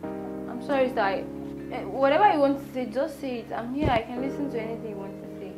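A woman's voice: on the high side, a little fast, music in the background, lightly acted, rough recording. A woman with a Nigerian accent playing a polite, earnest aspiring actress at an audition. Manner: deferential, earnest.

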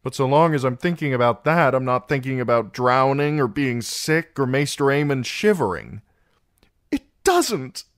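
A narrator voicing a frightened, anxious young man who pleads in a high voice. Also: lively intonation, moderate pace, lightly acted. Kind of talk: audiobook character voice.

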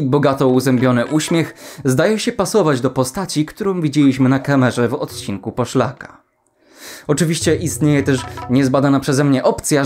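A man's voice: mid-range voice, darkish tone. A man giving scripted but casual video commentary, sardonic and slangy, close to a studio microphone. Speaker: video essay host. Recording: music behind the voice.

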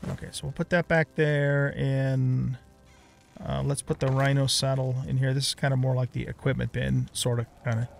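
A man's voice: medium pitch, level tone, moderate pace, creaky, faint music behind. A middle-aged man giving casual let's play commentary on a video game, thinking aloud and muttering to himself.

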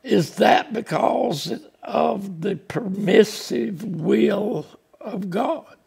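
Gravelly older pastor preaching slowly. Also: male voice, high-pitched, a little mellow. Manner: folksy, plainspoken preaching with frequent "uh". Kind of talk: sermon. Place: small church sanctuary.

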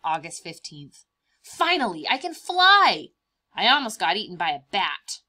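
A woman voicing a cheerful, boastful young praying mantis in a picture-book read-aloud, expressive and emphatic, stretching out words. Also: mid-range voice, measured pace, exaggerated voice. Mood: cheerful, dramatic.

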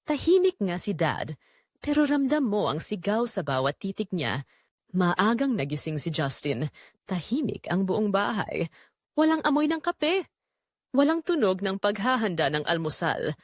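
A woman's voice: mid-range voice, sing-song, unhurried, dry recording, studio quality. A woman narrating a scripted dramatic story.

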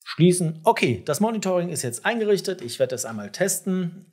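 Slightly husky man giving a tutorial. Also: high-pitched, big pitch swings, a little fast, darkish tone. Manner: direct, instructive, addressing the viewer. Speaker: tutorial host. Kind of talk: software tutorial, conversational step-by-step explanation. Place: small home studio room, indoors.

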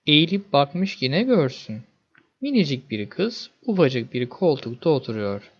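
A man narrating a scripted fairy tale as a storyteller, in an expressive, dramatic manner. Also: mid-range voice, muffled recording.

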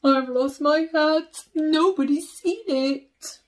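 A woman voicing a big, polite, forlorn bear, with earnest, drawn-out questions, in a children's picture book. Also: high-pitched. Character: big polite forlorn bear searching for his lost hat.

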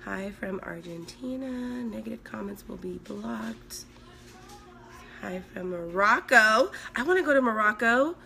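A woman in her thirties from Sweden, a makeup artist chatting on a live stream from home with a hoarse, recovering voice while she works and reads out viewer comments. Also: on the high side, animated, unhurried, sharp tone, a little hiss or hum. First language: Swedish. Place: indoors at home, small room. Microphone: phone at arm's length.

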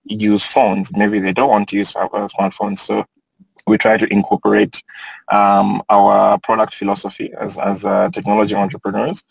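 Low gravelly man on a video call. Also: normal speed, no echo, poor audio. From Kenya. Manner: relaxed, thoughtful, many fillers. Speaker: panelist. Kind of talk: online panel discussion. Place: inside a parked car.

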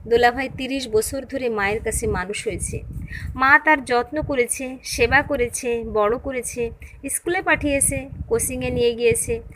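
A woman's voice: high-pitched, a bit bright, slight background noise, rough recording. A woman voicing a shrewd, composed young daughter-in-law in an audio story, explaining things firmly and with measure. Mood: quietly defiant.

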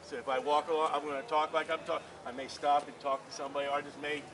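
A man's voice: on the high side, level tone, racing. A man who preaches on the street, talking earnestly and insistently with police officers outdoors at a market. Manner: earnest, insistent, talks over others. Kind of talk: casual conversation with police. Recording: distant voice, busy street crowd.

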